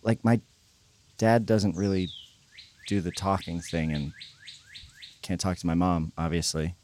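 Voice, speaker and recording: A man's voice: low-pitched, steady tone, a little slow, darkish tone, a little hiss or hum. An actor playing a troubled, guilt-ridden young churchgoing man, speaking hesitantly and stammering in a naturalistic audio drama. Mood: subdued, ashamed.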